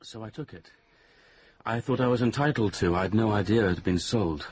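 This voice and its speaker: A man's voice: lowish voice, normal speed, a little mellow, slightly rough. An actor with a British accent playing an uneasy, evasive young man with a hesitant manner in a radio drama. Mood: uneasy, defensive.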